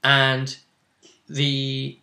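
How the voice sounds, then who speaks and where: Bright-voiced man recapping a game. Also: mid-range voice, completely flat delivery, drawn-out words, gravelly. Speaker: role-play session host. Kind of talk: casual recap of a role-play game.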